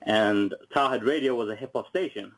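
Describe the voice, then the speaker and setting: A man from Iraq, a podcaster on a talk radio show, combative and profane and given to yelling insults. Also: lowish voice, a little fast, low-quality recording. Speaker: podcaster on a call-in. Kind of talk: heated talk radio guest.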